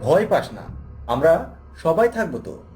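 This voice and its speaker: A man's voice: fairly high pitch, big pitch swings, unhurried, a little mellow, soft background music, pitched above the performer's own voice. A man voicing a bold, dismissive young village man in a cartoon, with a brash, scoffing, colloquial way of talking. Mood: confident.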